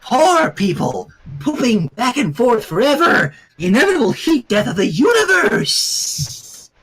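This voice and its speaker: A man voicing a gloomy cloaked cartoon pony girl reciting eerie doom in a theatrical, drawn-out wail. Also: extremely high pitch, huge pitch swings, a bit bright, husky, pitched above the performer's own voice, heavily acted. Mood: eerie, dramatic.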